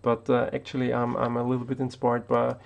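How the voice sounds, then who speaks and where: Hesitant Austrian man with a gravelly voice. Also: mid-range voice, monotone, a little fast, dull audio. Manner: hesitant, many restarts and fillers. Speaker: tech YouTuber. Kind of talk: unscripted talk to camera.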